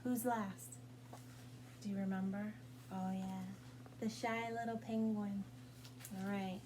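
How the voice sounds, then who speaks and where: A woman's voice: mid-range voice, level tone, slow, noisy background. A woman leading storytime as a children's librarian, reading and singing along in a sing-song, coaxing way as if to small children, with questions for them.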